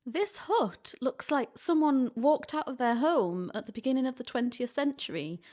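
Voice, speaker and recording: A woman's voice: high voice, expressive, moderate pace, mellow. A woman playing a curious young woman on a ghost hunt in a radio play, inquisitive and easily spooked. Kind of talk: community radio play acting.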